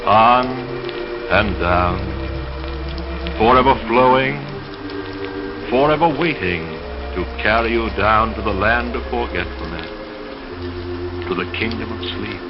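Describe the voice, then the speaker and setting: Male 1930s radio poetry announcer, lowish pitch, hushed dreamlike solemnity, dull vintage sound. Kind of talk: 1930s late-night radio poetry reading and sponsor announcing. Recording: muffled vintage radio sound over music. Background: dreamy music.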